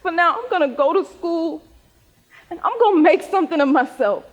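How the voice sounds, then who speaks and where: A woman playing a grieving, vulnerable young student devoted to her grandmother, in a stage play, with a husky voice. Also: extremely high pitch, animated, normal speed. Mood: grieving, tender. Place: theater stage in a reverberant hall. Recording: muffled echoey stage hall recording.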